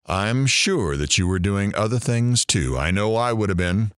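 A low, swooping male voice in a dry recording. An actor plays a curious, teasing talk radio host bantering in an audio drama. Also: expressive, measured pace, a bit bright, clean tone, no room sound. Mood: upbeat.

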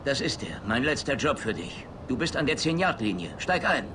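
Actor as glib, cynical agent, loud noise behind. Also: male voice, medium pitch, very animated, fairly quick, lightly acted. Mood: breezy. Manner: sardonic, glib. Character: brash, cynical American agent, middle-aged, glib and sardonic. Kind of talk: video game dubbing.

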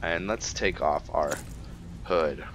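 A man's voice: very deep voice, slow, fairly bright tone, slight background noise. A man giving casual roleplay commentary on a video game, rambling and thinking aloud as he plays. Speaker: gaming video host. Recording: dull lo-fi mic under music. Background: game music.

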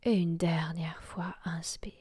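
Very slow, soft breathy woman. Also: lowish voice, fairly even intonation, no echo. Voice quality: soft, breathy, half-whispered. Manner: slow, soothing, hushed delivery with long pauses. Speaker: yoga nidra guide. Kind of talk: guided relaxation meditation.

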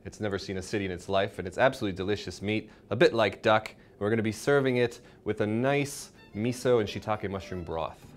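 A man's voice: lowish voice, fairly quick, hardly any pauses, faint music behind. Young man hosting a cooking demonstration. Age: young adult. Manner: informal, instructional. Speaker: cooking show host. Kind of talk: cooking demonstration, explaining step by step. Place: indoor home-style kitchen.

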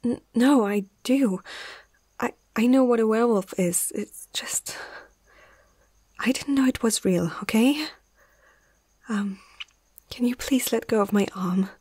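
A woman's voice: fairly high pitch, a little mellow, husky, no echo. A woman playing a nervous but caring young vet in an ASMR roleplay, hesitant and often half-whispering close to the mic. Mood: scared, hesitant. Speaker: amateur ASMR roleplay performer.

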